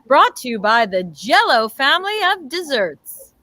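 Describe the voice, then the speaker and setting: A woman's voice: high-pitched, very animated, measured pace, bright, forceful tone, no room sound. A woman announcing and narrating an amateur old-time radio show in a classic announcer manner.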